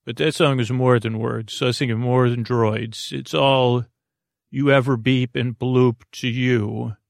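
A man hosting a bedtime podcast in a meandering, hesitant monologue full of stammered false starts and tangents, with a gravelly voice. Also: medium pitch, steady tone, a little slow, dry recording, studio quality. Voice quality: gravelly, creaky. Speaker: podcast host.

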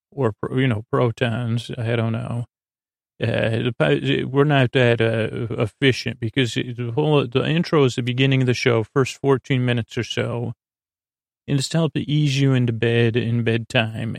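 A man with a gravelly, fry-heavy voice hosts a sleep podcast in a close, dry recording. His pitch stays quite flat as he meanders through his monologue. He halts, stammers and repeats fragments of words as he goes. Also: no echo. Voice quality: creaky, gravelly. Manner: meandering, halting, stammering, repeats and trails off mid-word. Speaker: podcast host.

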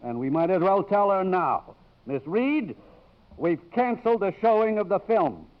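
An actor playing a blustering, smug college trustee in a 1950s radio drama, blunt, pompous and grumbling. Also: male voice, high voice, expressive, a little slow. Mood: smug, firm. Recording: muffled old radio broadcast.

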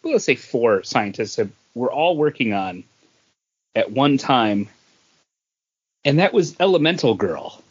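A man's voice: mid-range voice, very animated, a little slow, long pauses, husky, lo-fi sound. A man co-hosting a podcast, chatting casually about comics and beer. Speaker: podcast host.

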